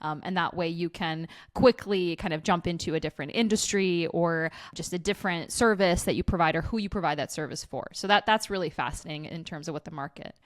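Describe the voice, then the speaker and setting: A woman's voice: fairly low pitch, brisk, hardly any pauses, no echo, clean studio sound. A woman from eastern Ukraine, a recruiting agency CEO, speaking candidly as a podcast guest and laughing often. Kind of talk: podcast interview.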